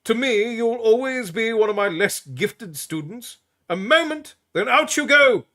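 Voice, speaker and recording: A man voicing a gruff, fussy old male priest who scolds in a brisk, raised voice. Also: very high voice, lively intonation, a bit bright, pitched above the performer's own voice, heavily acted. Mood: scolding.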